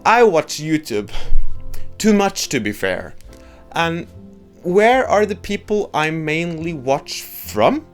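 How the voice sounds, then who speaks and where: A man's voice: fairly high pitch, very animated, slow pace, faint music behind. A young man with a Norwegian accent, a native Norwegian speaker, giving a blunt, joking opinion monologue as a video host. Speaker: YouTube host.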